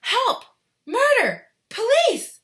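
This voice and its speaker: Woman acting out a shrill, panicky storybook mother. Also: wildly swooping pitch, extremely slow, a bit bright, full character voice. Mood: panicked screaming. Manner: screaming and snapping indignantly. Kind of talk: children's storybook read-aloud.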